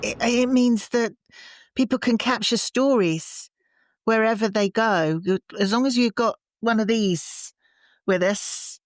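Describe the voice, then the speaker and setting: A woman's voice: fairly high pitch, measured pace, no room sound. A woman hosting an interview podcast, chatting in an appreciative and encouraging way. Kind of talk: conversational interview.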